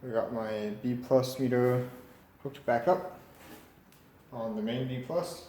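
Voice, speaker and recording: A man talks casually through a hands-on repair, working things out as he goes. His middle-pitched voice is recorded in an echoey room, with faint noise behind it. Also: steady tone, slow pace, warm tone. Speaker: vintage radio repair hobbyist narrating. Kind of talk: casual hands-on demonstration talk. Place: indoor room at a cluttered workbench.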